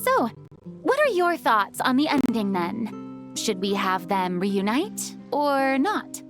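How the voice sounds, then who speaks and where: A high-voiced, courteous, poised lady. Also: very animated, slow, over music, full character voice. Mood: inquiring. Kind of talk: video game voice acting.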